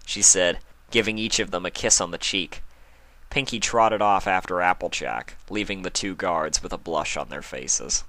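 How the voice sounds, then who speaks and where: A man's voice: deep voice, sharp tone, no echo. A man reading scripted story narration as a fan fiction reader.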